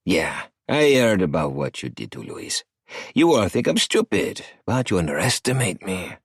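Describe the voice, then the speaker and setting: A sneering, arrogant cartel boss spits taunts in a husky, swinging voice, as a male narrator puts him on. The delivery is close and dry, with no room sound. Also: low voice, very animated, moderate pace, no room sound, exaggerated voice.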